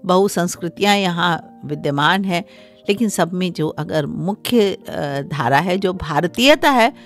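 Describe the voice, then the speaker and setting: Measured middle-aged woman explaining, music behind. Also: lowish voice, lively intonation. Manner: measured, formal, pausing between phrases. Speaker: interview guest, Hindi scholar. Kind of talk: explanatory radio interview. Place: small acoustically treated radio studio. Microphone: close studio microphone on a desk stand.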